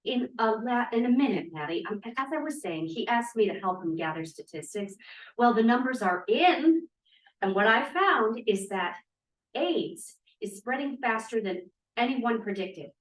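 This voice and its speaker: Casting: actress as excitable young female activist, eager, lightly high voice, roomy off-mic stage-reading sound. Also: normal speed, lightly acted. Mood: earnest. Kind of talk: staged table read of a play. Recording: roomy, distant room microphone.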